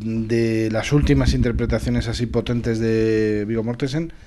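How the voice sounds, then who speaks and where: A man with a Spain accent speaks in a medium-pitched voice. He discusses cinema in a casual, opinionated way, stressing his points emphatically. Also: fairly even intonation, measured pace, dark tone, slight background noise. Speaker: film discussion panelist.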